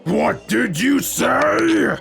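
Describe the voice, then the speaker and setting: A man playing a boastful, bullying giant street fighter in an audio drama, taunting and gravelly. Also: extremely high pitch, very animated, very slow, raised voice, over loud music, heavily acted. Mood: angry, indignant. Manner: taunting, speaks of himself in third person.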